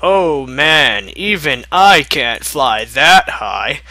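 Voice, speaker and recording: A confident tomboyish flying pony girl from a fan story, done by a male reader. She talks breezily and helpfully in a bright voice, recorded close and dry. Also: on the high side, very animated, extremely slow, no echo, heavily acted. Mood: breezy confident.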